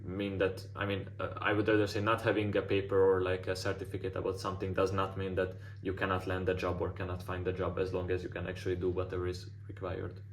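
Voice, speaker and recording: Non-native English-speaking man, design mentor, low monotone delivery, filler-heavy casual advice, faint noise on the line. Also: fast, few pauses. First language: not English. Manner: frequent "like" fillers and restarts. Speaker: design mentor giving portfolio feedback. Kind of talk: casual feedback session.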